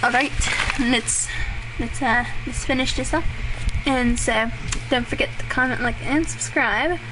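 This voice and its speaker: A young woman hosting a casual drawing tutorial, rambling and self-deprecating, with fillers and a voice that turns raspy at times. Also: fairly high pitch, unhurried. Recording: clearly noisy home recording.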